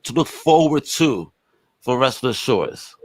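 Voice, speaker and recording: A man hosts a podcast with very lively, swinging intonation. His talk is casual and slangy, full of banter, and the sound is close and dry. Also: medium pitch, takes it slowly, no echo. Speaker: podcast host. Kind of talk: casual chat.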